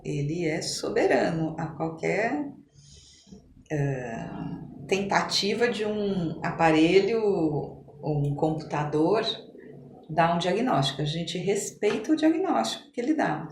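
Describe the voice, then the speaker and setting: A woman with a Brazilian accent explaining things conversationally as an interview guest, in a halting, emphatic way like a medical professional. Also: fairly low pitch, animated, vocal fry, darkish tone. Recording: echoey room, faint background noise.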